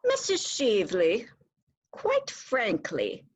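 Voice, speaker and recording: A woman's voice: mid-range voice, huge pitch swings, extremely slow, slightly rough, exaggerated voice. A woman playing an earnest, proper Victorian wife and hostess, formal and polite, in a dramatic reading. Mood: polite, reserved. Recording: slightly dull, lo-fi sound.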